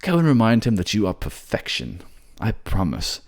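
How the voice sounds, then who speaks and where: A man's voice: lowish voice, huge pitch swings, normal speed, pitched above the performer's own voice. Man playing a lilting, flamboyant fantasy noble. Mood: tender, cheeky. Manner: effusive, teasing, calls listener pet names. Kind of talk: fantasy audio drama acting.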